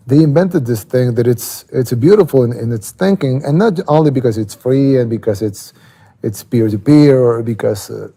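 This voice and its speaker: Mellow, gravelly man with a Salvadoran Spanish accent. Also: medium pitch. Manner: halting, repeats words while thinking. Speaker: interviewee. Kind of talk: casual interview explanation.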